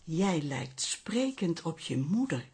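An older woman in a radio drama, very husky and low for a woman. She chats coyly and unhurriedly, quick to take offence, her pitch swinging widely. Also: sing-song, a little mellow, heavily acted. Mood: chatty. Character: chatty, daring old flame, elderly, easily indignant. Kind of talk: Dutch radio drama acting.

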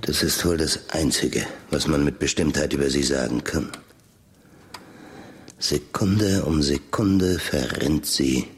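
Rich older guy in a radio play, super low voice, really slow n ponderous, brooding about time. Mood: brooding, solemn. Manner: slow, ponderous, philosophical, dropping into whispers. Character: rich, brooding older man musing on time and life's end. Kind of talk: radio drama monologue.

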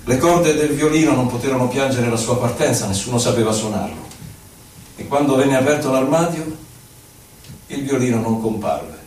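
A wistful poet played by a man recounts memories in a theatrical, measured, literary delivery. He sounds far from the microphone in a very reverberant space. A faint noise sits in the recording. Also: mid-range voice, animated, darkish tone, natural voice. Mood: wistful storytelling. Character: male poet recalling his past, wistful, lyrical storyteller. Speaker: stage actor. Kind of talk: theatrical poetic monologue. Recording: very echoey, distant from mic, faint noise.

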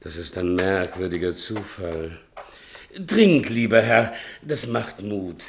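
An actor playing an obsequious, chatty servant who muses ponderously and self-pityingly in a 1960s German radio play. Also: male voice, sits low, slow, a little hiss or hum. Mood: ingratiating. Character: servile male servant, chatty philosophising tippler, self-pitying.

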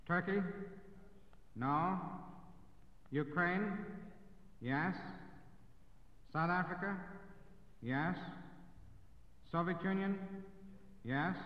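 A man slowly and formally calling a roll at an assembly vote, in an old archival recording. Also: high-pitched. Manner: slow, formal calling of names. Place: large assembly hall. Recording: lo-fi 1947 archive recording in an echoing hall.

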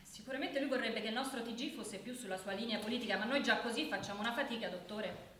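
An actress playing a polite, inquisitive television news journalist in a radio play. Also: female voice, fairly high pitch, quick pace, a bit bright, natural voice. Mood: polite, concerned. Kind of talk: naturalistic Italian radio play acting. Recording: echoey room with faint noise.

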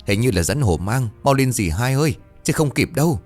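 A man doing a hurried, urgent village man. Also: medium pitch, expressive, darkish tone, faint music behind, voice pushed up, lightly acted. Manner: hurried, pleading.

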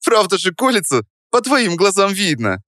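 Man as a young woman, pitched up high, girlish, teasing, huffy, swooping intonation, storybook. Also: big pitch swings, moderate pace, sharp tone, dry recording, clean studio sound, exaggerated voice. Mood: mock outrage. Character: lively young woman, playful, easily indignant. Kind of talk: audiobook character voice.

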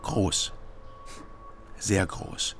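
An actor playing a laconic private detective who narrates a radio crime drama in terse, clipped sentences. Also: male voice, drawn-out words, a bit bright, slight background noise. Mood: brooding, terse. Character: laconic male East German private detective.

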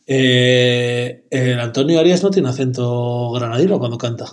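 A man's voice: mid-range voice, a little slow, echoey room, a little hiss or hum. A man from in casual chat about music, talking in long rambling arguments with slangy fillers.

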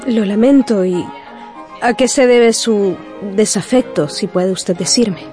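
A woman playing a proud, outspoken young gentlewoman with a teasing edge in a radio drama. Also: medium pitch, slow pace, soft background music, natural voice. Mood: curious, indignant.

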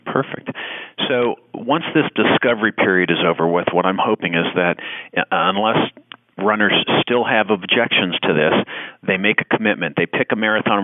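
Podcast host guy, casual n a bit halting, lowish voice, tinny dry phone sound. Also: dry recording. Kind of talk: casual interview.

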